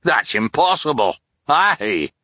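A man voicing a blustering, excitable ship captain who shouts and tacks "aye" onto everything. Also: on the high side, takes it slowly, no echo, studio quality, voice pushed up, heavily acted. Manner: loud, exclaiming, tacks on "aye" constantly.

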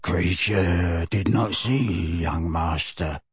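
A croaking old house elf. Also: male voice, dry recording, studio quality, exaggerated voice. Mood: bitter muttering. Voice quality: hoarse deep croaking mutter. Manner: slow grumbling undertone. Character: old hunched male house elf, bitter, servile.

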